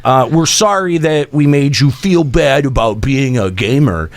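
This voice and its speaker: A man hosting a radio show with casual banter and rambling, halting phrasing. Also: mid-range voice. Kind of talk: casual banter between hosts.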